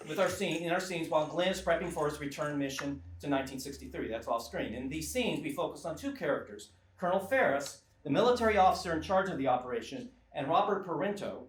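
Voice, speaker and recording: A man with a fairly high voice introduces a script to a live audience in an informal, emphatic way. He sounds distant in a very echoey theatre, with some light noise around him. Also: animated, fast, hardly any pauses. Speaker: presenter and narrator of a live screenplay table read. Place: small live theatre stage.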